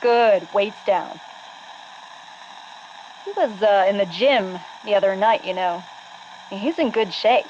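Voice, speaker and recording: Actress as easygoing, slow-talking female trainer. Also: mid-range voice, a little mellow, natural voice. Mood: easygoing, encouraging. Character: easygoing, encouraging gym trainer or spotter. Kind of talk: scripted game dialogue. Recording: muffled, faintly noisy audio log.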